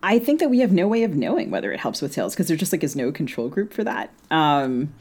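A woman co-hosting a podcast in casual conversation about books, speaking in a thoughtful, hedging, halting way. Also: deep voice, sing-song, darkish tone, breathy edge. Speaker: podcast co-host and novelist.

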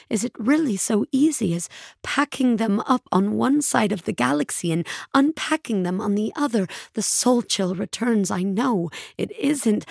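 A haunted starship poet, narrates a science-fiction audio drama with solemn, lyrical deliberation. Her voice is husky and creaky, and she takes her time over each phrase. It sounds dry and close. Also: medium pitch, darkish tone, no room sound, exaggerated voice. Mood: brooding, uneasy. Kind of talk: dramatic first-person scripted narration. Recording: dry studio with music behind.

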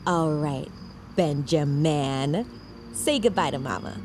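An actress playing a warm, teasing aunt and close friend in casual audio drama dialogue. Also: female voice, low-pitched, expressive, takes it slowly, background noise. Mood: caring. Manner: playful, breezy, caring.

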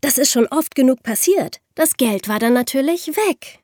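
A woman with a slightly high voice co-hosts a podcast for young listeners. She talks conversationally, and the recording is dry and close. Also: animated, normal speed, darkish tone, dry recording. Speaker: podcast co-host.

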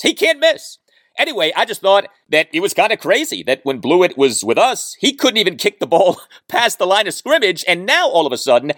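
A man hosting a sports podcast solo, speaking in emphatic, deliberate phrases with heavily stressed words. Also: a little high, fairly bright tone, no room sound, studio quality. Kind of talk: sports commentary monologue with read-out listener mail and ad reads.

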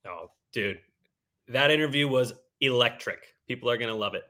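A man in his late twenties co-hosting a sports podcast, excitable and jokey, with stammering restarts. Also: mid-range voice, moderate pace. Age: 20s. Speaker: podcast co-host. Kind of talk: casual sports podcast chat.

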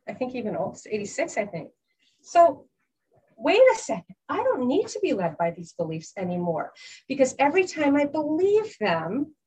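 A woman's voice: on the high side, poor audio. A woman life coach giving an earnest teaching talk, often restarting and repeating herself as she explains.

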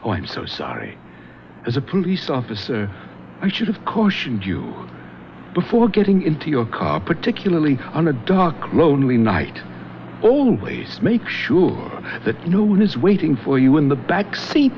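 An actor playing a sinister male police lieutenant who is secretly a knife killer, with a silky, mocking, slow delivery, in a 1970s radio drama. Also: on the high side, huge pitch swings, slight background noise. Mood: menacing. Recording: dull lo-fi radio broadcast.

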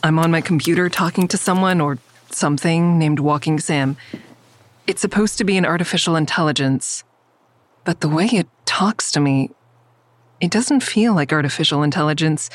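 A woman's voice: low-pitched, moderate pace. A woman playing a wary, stubborn mother who narrates her risky investigation in the first person in an audio drama. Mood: wary, confiding.

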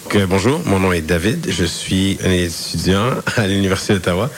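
A man's voice: deep voice, a little fast, a little hiss or hum. A young man in his twenties, a student, answering interview questions with many fillers and restarts. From Rwanda. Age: 20s. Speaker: student guest.

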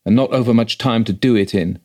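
A man's voice: on the low side, a little fast, darkish tone, close to an everyday voice. A man voicing an educated, slightly impatient country clergyman with a formal, authoritative manner in a ghost story read aloud. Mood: brisk.

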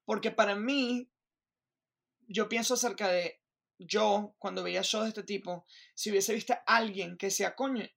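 A woman from Venezuela with a Venezuelan accent, talking as a guest in an interview in an animated way, restarting sentences and checking in with the listener. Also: medium pitch, moderate pace, vocal fry. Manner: animated, restarts, keeps asking "me entiendes". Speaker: Broadway performer, guest. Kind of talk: interview conversation.

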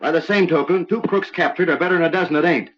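An actor playing a stubborn, suspicious Old West posse leader in a radio drama, blunt and commanding in folksy cowboy talk. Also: male voice, on the high side, fast talker, fairly bright tone, natural voice. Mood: stubborn, accusing. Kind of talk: old-time radio western acting. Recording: muffled, noisy old radio recording.

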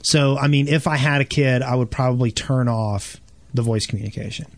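A man's voice: mid-range voice, a little fast, dry recording. Dry-sounding man chatting on a podcast. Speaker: podcast co-host. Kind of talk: casual discussion on a gaming podcast.

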